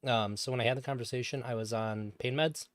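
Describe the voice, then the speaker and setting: A man casually commenting on an old stream. He calls his own voice higher-pitched, rambles, and swears now and then. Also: fairly even intonation, fast, dry recording, clean studio sound.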